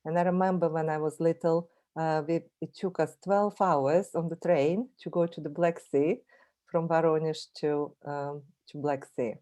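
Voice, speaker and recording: A woman's voice: deep voice, steady tone, moderate pace, warm tone. A woman with a Russian accent, a native Russian speaker, giving a friendly, chatty online presentation over a video call, with frequent fillers and restarts. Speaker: guest speaker, language teacher.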